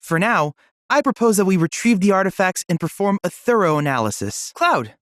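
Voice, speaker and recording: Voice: mid-range voice, big pitch swings, a little fast, a bit bright, no room sound, clean studio sound. An actor voicing an earnest, brainy boy-like android assistant in a video game, youthful-sounding and speaking in formal, precise, bookish phrases. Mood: pensive, measured. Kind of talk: video game voice acting, scripted dialogue.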